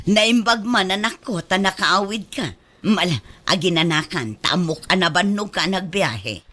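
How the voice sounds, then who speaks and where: Casting: solicitous mother for radio drama played by a woman, affectionate, lively rise and fall. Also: fairly low pitch, a little slow, heavily acted. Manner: fussing, affectionate. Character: caring, solicitous village mother.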